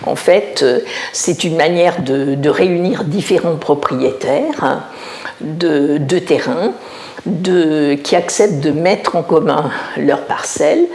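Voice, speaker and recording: An older woman from Alsace, a village mayor, answering interview questions in a measured, explanatory way, often pausing to find her words. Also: sits low, darkish tone. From Alsace, France. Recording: roomy echo.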